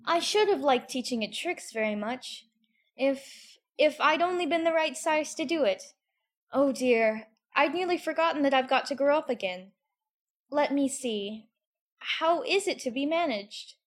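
A man's voice: very high voice, lively intonation, unhurried, pitched above the performer's own voice. A man voicing a polite, anxious little girl in a storybook, with a shy and hesitant way of talking. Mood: wistful, puzzled. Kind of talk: storybook character voice.

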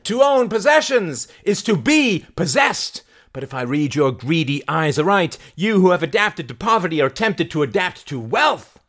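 A man's voice: high-pitched, sing-song, normal speed, hardly any pauses, fairly bright tone, voice pushed up. A man voicing a fiery, defiant rebel woman who preaches to rouse a mob in declamatory audiobook oratory. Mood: challenging, preaching. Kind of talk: audiobook character acting.

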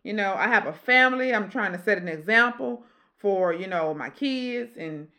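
Deep-voiced woman in her forties, emphatic. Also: animated, moderate pace, sharp tone. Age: 40s. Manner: emphatic, preachy, repeats phrases for emphasis. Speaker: podcast host. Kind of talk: casual motivational podcast chat.

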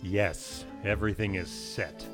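A man's voice: fairly low pitch, fairly even intonation, a little slow, over music, close to an everyday voice. A man playing a cold, scheming, power-hungry son with political ambitions in a 1930s suspense radio drama. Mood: sinister.

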